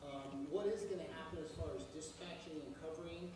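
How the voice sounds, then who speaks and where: A resident at a public meeting in a school hall, pressing a run of questions one after another. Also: male voice, on the high side, steady tone, brisk. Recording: echoey hall, distant mic with noise.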